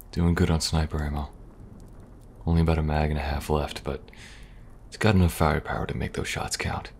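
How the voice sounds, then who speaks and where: An extremely low, fry-laden voice from a male actor gives a young male special agent a soft, protective air. He reassures gently and a little hesitantly, with wry humor, in an ASMR audio roleplay. Noisy ambience sits behind him. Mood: focused, wary. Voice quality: gravelly, breathy at times. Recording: audible background noise, ambience.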